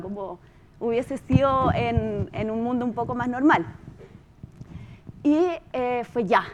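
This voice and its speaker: A woman with a Chilean accent gives an informal talk as a producer. Her voice sits a bit high, and she tells her story conversationally, repeating words for emphasis. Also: dull audio. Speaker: podcast producer giving a talk.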